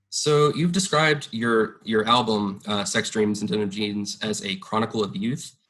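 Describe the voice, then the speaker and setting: A man's voice: on the low side, a bit bright. A young man interviewing a musician for student radio, asking questions hesitantly with frequent uhs and restarts.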